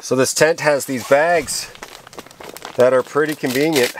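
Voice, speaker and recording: A middle-aged man talking casually to camera while winter camping in a canvas tent, relaxed and laughing at himself. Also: medium pitch, unhurried, a little hiss or hum. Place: inside a canvas hot tent with a wood stove, in snowy woods.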